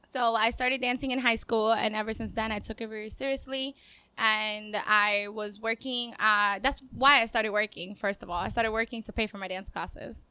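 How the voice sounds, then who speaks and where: A woman's voice: a little high, fairly even intonation, normal speed, bright, forceful tone, clear, resonant tone, dry recording. A woman in her twenties from Cuba, a dancer chatting casually as a podcast guest in an upbeat, talkative way. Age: 20s. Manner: upbeat, chatty, laughs easily. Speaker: guest, dancer and business owner. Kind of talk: casual interview talk.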